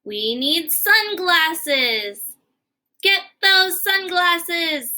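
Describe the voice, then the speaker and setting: A woman voicing an anxious, excitable elephant who loves plans, with emphatic, drawn-out exclamations in a children's storybook. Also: very high-pitched, voice pushed up, heavily acted. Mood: urgent, excited. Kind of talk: children's storybook read-aloud.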